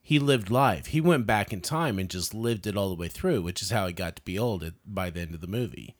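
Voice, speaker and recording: Male panelist, slightly low voice, conversational, jumps in to argue his point. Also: lively intonation, brisk. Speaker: podcast panelist. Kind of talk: casual movie review chat.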